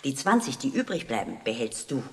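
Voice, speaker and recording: A woman's voice: very deep voice, big pitch swings, fairly quick, darkish tone, full character voice. A woman playing a caring, fussing mother in a 1960s radio play, giving motherly instructions and admonishments. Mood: careful, instructing. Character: caring, fussing working-class mother of a schoolboy. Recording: vintage record with background noise.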